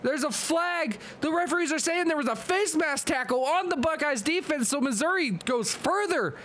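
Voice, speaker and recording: Hyped high-voiced man calling the game. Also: a little hiss or hum. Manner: excitable, hyped play-by-play with quick asides to chat. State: losing his voice, nose clogged. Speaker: league commentator and stream host. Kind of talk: live-streamed play-by-play commentary.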